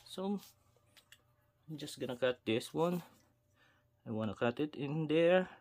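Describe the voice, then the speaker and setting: Slow-talking man narrating a rod repair. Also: a little high, animated, a little mellow. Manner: unhurried, self-talk with pauses, addresses viewers as guys. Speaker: fishing video host. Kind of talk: casual how-to narration while working. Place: indoors at a wooden table.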